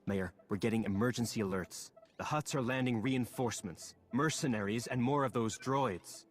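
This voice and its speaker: An actor playing a dutiful, earnest aide in a video game, reporting in a factual, deferential way. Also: male voice, mid-range voice, normal speed, lightly acted. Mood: alarmed. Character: dutiful, matter-of-fact male mayor's aide. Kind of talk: video game character acting.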